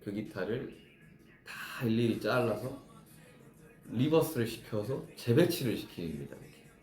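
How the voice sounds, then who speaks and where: A man's voice: mid-range voice, sing-song, measured pace, darkish tone, echoey room, soft background music. A man in his thirties, a musician chatting casually with fans on a live stream, reflectively explaining how he writes his songs. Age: 30s.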